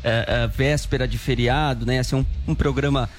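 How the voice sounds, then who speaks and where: A man co-hosting a morning radio talk show, telling stories with animated energy. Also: mid-range voice, normal speed, soft background music. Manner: animated, storytelling. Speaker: radio co-host. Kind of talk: lively radio banter.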